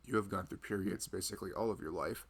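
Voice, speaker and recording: Loving young husband acted by a man for intimate ASMR roleplay: very low, creaky voice, earnest, informal, rambling delivery. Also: steady tone, fast. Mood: thoughtful, sympathetic. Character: loving, chatty, earnest husband of a pregnant wife. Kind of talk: improvised ASMR roleplay, casual intimate chat.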